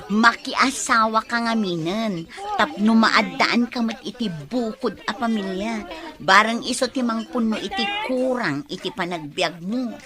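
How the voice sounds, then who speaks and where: A woman's voice: fairly high pitch, lively intonation, a little slow, crowd noise. An actress playing a chatty young woman's best friend who gives advice, in an Ilocano radio drama. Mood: encouraging.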